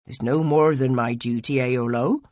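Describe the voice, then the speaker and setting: Woman voicing a courteous, very low-pitched lord. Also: fairly even intonation, moderate pace, darkish tone, dry recording. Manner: formal, composed. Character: courteous, measured male lord and official. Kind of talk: audiobook character acting.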